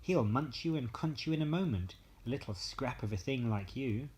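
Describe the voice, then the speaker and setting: A man's voice: medium pitch, expressive, normal speed, darkish tone, slight background noise, lightly acted. A man voicing an enormous, cautious but helpful giantess with a motherly, warning manner in a bedtime story. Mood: curious, warning.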